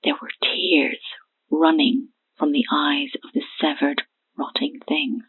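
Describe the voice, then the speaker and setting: A woman in her twenties playing a haunted, terrified witness in an audio horror drama, speaking haltingly and hushed through a telephone filter. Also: low-pitched, sing-song, in a whisper, exaggerated voice. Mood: shaken, haunted. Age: 20s. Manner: halting, hushed, breathy delivery. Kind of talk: audio horror drama acting, phone confession. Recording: thin phone-line sound.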